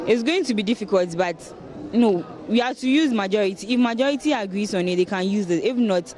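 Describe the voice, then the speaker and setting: A woman's voice: medium pitch, animated, a little fast, darkish tone, some chatter behind. A woman with a Ghanaian accent giving her opinion in a casual street interview. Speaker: member of public in street interview. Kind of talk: casual vox pop. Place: outdoors on the street.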